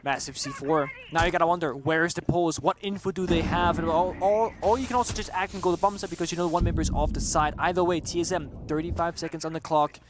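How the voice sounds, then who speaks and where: A man doing color commentary at a live esports match, calmly explaining tactics and player roles. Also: a little high, fast, few pauses, background noise. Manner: explanatory, breaks down tactics steadily. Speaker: esports analyst caster. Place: broadcast desk at a live event.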